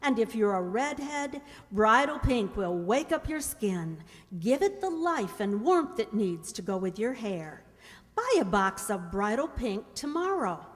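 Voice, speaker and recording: A woman's voice: on the high side, sing-song, unhurried. A woman reading a scripted advertisement in an old-time 1940s radio style. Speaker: commercial announcer. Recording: echoey hall, long reverb.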